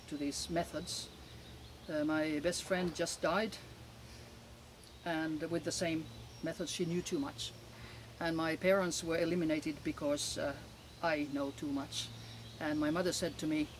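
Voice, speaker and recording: Older Finnish woman, emphatic and halting. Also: sits low. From Finland. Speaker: interview guest. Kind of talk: conversational interview answers, personal testimony. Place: outdoors in a garden. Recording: clear outdoor background noise.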